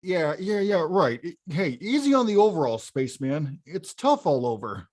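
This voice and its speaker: An actor playing a big, frustrated and stubborn video-game bad guy who craves respect, with emphatic, blustering delivery in an animated film table read. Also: mid-range voice, moderate pace. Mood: eager.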